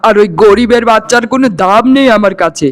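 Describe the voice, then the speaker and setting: A man's voice: high-pitched, quick pace, close to an everyday voice. A man voicing a rich husband in a melodramatic cartoon, speaking in an overwrought way and repeating words for emphasis. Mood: scornful, threatening. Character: greedy, arrogant rich husband and younger brother. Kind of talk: melodramatic Bengali cartoon dialogue.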